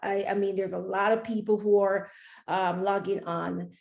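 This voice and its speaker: A woman hosting an interview, introducing her guest in a halting way with many fillers. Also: medium pitch, level tone, vocal fry, darkish tone, reverberant. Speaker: host.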